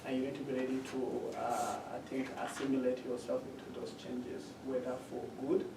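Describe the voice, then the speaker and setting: A man sits on an academic panel and gives advice in a measured way, stopping often to search for words. His voice is middle in pitch and sounds distant. The room echoes, and noise runs under it. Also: little pitch movement, gravelly. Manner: measured, with frequent pauses and fillers.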